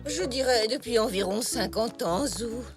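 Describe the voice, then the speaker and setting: High, lively, kindly grandma zebra. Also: female voice, unhurried, music in the background. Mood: gentle. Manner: gentle, encouraging. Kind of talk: French children's cartoon acting.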